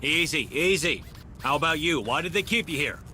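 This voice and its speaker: A man's voice: on the high side, expressive, normal speed, bright, forceful tone, slight background noise. A man playing a reassuring space marine questioning captives in a video game.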